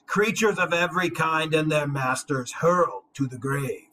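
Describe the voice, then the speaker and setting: A man voicing the grieving last survivor of a noble race, reciting an elegy slowly and solemnly. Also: a little high, pushed and bright, a little hiss or hum, low-quality recording. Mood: solemn grief. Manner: slow, solemn, elegiac. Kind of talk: dramatic epic poetry reading.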